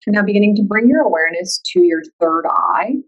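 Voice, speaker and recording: A woman guiding a meditation in a calm, soothing, unhurried way, leaving long pauses between instructions. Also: mid-range voice, highs cut off. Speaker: yoga nidra meditation guide. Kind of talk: guided meditation.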